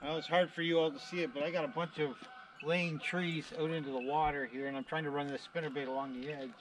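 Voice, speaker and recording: A man's voice: fairly high pitch, fairly even intonation, fast talker, slight background noise, poor audio. A middle-aged Canadian man with a Canadian accent, narrating a casual fishing vlog in a folksy, joking way, from his car and from a creek bank. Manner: folksy, joking, thinks aloud with fillers. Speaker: fishing vlogger. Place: inside a car, then outdoors by a creek. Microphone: camera on dashboard, later far camera on the bank.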